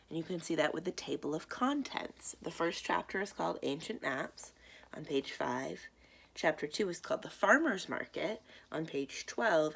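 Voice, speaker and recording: A woman reading children's stories aloud as a library storytime reader, in a deliberate, expressive way. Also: low voice, vocal fry, breathy edge.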